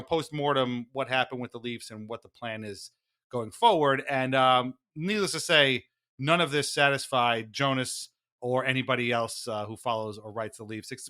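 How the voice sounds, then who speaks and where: A man's voice: medium pitch, bright, forceful tone. A man hosting a podcast in a theatrical, announcer-like, mock-formal way, moving between banter and dramatic reading. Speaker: podcast host.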